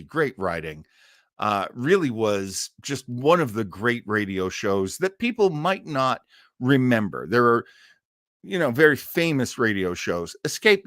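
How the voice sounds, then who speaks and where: A man's voice: mid-range voice, a bit bright, no echo, studio quality. A man hosting a live stream about old-time radio, chatting in a rambling, enthusiastic, theatrical way. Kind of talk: casual live stream chat.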